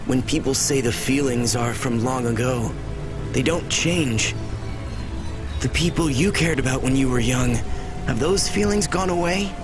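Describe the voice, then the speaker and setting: A man's voice: mid-range voice, unhurried, over music, natural voice. A man playing a confused, lovelorn, childlike old soul in a video game, speaking earnestly and naively. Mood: wistful, confused. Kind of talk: video game dialogue acting.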